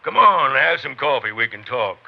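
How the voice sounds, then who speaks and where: An American actor playing a hospitable older rancher in a 1950s radio western, with folksy, clipped country phrasing. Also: male voice, medium pitch, sing-song, normal speed, vocal fry, a little hiss or hum. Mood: welcoming. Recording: muffled 1950s radio recording.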